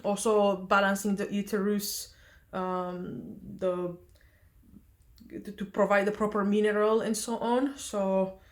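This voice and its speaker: A woman's voice: medium pitch, flat delivery, slow. A young woman from Romania, chatting casually in a vlog, hesitant with many fillers and self-corrections. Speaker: vlogger.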